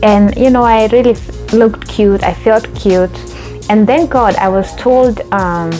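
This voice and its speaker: A woman's voice: medium pitch, measured pace, warm tone, faint music behind. A young adult woman from Kenya hosting her own vlog, telling a story in a chatty way with lots of fillers and restarts. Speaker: YouTube host.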